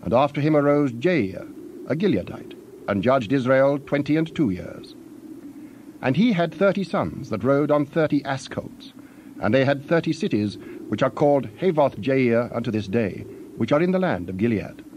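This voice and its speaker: A man narrating scripture in a solemn, measured storytelling style. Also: medium pitch, big pitch swings, darkish tone, lo-fi sound, slight background noise.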